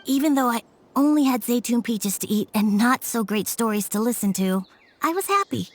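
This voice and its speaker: A woman voicing a shy, earnest young boy who speaks softly and hesitantly in a game. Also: fairly high pitch, expressive, moderate pace. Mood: wistful. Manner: soft, hesitant storytelling. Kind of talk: game voice acting.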